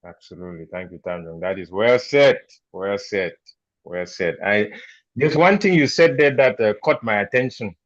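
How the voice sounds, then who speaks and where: A man's voice: on the low side, normal speed, dry recording, highs cut off. A man hosting a casual group talk, affirming the speakers and leaning on rhetorical questions. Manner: lively, affirming, uses rhetorical "right?". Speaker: host.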